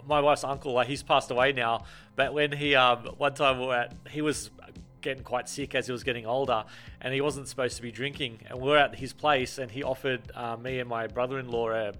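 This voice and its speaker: A man's voice: mid-range voice, steady tone, fairly quick, pushed and bright, soft background music. A man with a nasal voice, chatting casually as a podcast guest and teasing his brother. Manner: teasing, laughing storyteller. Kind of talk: casual chat.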